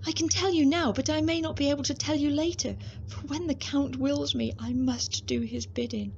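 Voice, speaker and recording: An actress in a radio drama playing a frail, devoted wife who speaks earnestly, as if half in a trance. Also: female voice, high voice, steady tone. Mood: pleading. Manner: slow, trancelike, earnest, often hushed. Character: Mina, frail, entranced, devoted young wife. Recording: muffled sound, clear ambient noise.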